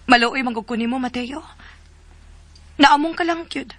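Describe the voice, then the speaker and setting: A woman's voice: sits high, normal speed, fairly bright tone, dry recording. An actress in a radio drama playing an earnest, resolute young woman. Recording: music under a dry studio voice.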